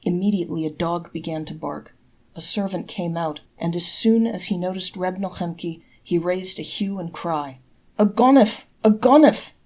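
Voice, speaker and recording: A woman narrates traditional tales, reading from a text. Her voice sits a little low for a woman, and the delivery is that of a storyteller reading aloud. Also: steady tone, normal speed. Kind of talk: scripted folktale narration, read aloud.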